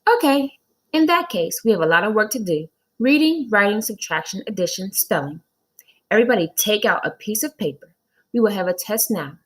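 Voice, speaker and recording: A woman's voice: medium pitch, lively intonation, fairly quick, husky, voice pushed up. A woman voicing a flustered, confused but nice female schoolteacher in a children's storybook, exclaiming with emphasis and disbelief. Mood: doubtful, then brisk.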